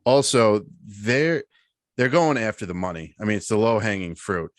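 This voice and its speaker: Low-voiced man in casual podcast chat. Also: normal speed, no echo, studio quality. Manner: animated storytelling with slang and swearing. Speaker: podcast co-host.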